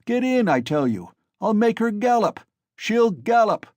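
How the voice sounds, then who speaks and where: A man's voice: sits high, sing-song, a little slow, a little mellow, no room sound, pitched above the performer's own voice. A man voicing a cruel, drunken young male peasant who bellows and rages. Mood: drunken bellowing. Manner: bellowing, raging.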